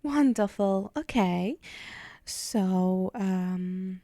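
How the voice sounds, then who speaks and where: Woman acting a slow-talking, haughty demon temptress. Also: mid-range voice, warm tone, dry recording. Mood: scheming, coaxing. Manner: haughty, teasing, sighing, theatrical. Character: vain, sly female demon striking soul bargains. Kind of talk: ASMR roleplay monologue to the listener.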